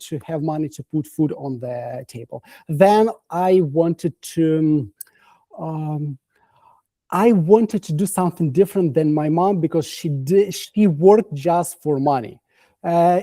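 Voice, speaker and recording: A man's voice: on the high side, mellow, dry recording. A young man from Ukraine with a Ukrainian accent, talking as a guest on a video call and stopping often to search for words. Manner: halting, many fillers and restarts. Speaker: guest coach. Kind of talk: casual interview chat.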